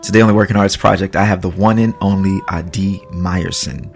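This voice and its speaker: Very low, creaky podcast host. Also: fairly even intonation, a little fast, faint music behind. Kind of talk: interview and scripted introduction.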